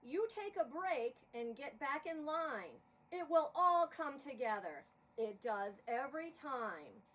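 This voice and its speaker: A towering heroic theatre saint performed by a woman storyteller declaims loudly and slowly, taking command. The delivery is grand and theatrical, with a high, swinging pitch. It is recorded a little off the mic with faint noise. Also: very animated, far from the mic, heavily acted. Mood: firm, reassuring. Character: tall, grand, commanding male theatre saint, rescuing the show.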